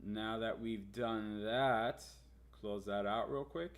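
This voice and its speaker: A man's voice: on the low side, takes it slowly. Chatty American man hosting a livestream. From United States. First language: Spanish. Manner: chatty, many fillers and restarts, addresses viewers as guys. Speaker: livestream host. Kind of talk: casual live market commentary.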